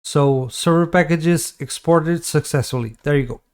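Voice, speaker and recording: Brief: Portuguese-native man, slightly higher voice, loose rambling tutorial delivery. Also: moderate pace, clear, resonant tone. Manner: relaxed, rambling, frequent fillers and restarts. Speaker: tutorial host. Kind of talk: casual screen-recorded game tutorial.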